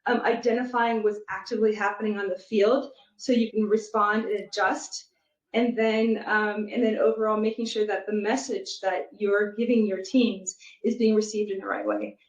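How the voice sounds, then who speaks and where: A woman talks through her thoughts as a guest, steady and measured and full of small pauses. Her voice is mid-pitched. It reaches the listener muffled, with a roomy echo around it. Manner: thoughtful, many fillers, measured. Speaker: guest, product manager and youth soccer coach. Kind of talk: casual interview conversation. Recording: muffled echoey remote recording.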